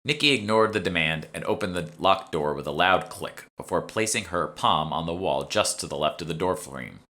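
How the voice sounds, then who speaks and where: A man's voice: low voice, expressive, moderate pace, pushed and bright. A man reading scripted first-person audiobook narration as the author.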